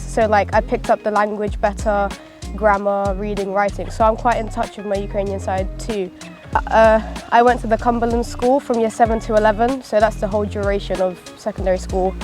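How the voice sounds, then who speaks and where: A woman's voice: mid-range voice, a little mellow. A girl, talking casually in an interview as a school pupil, with many fillers and restarts. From East London, England. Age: late teens.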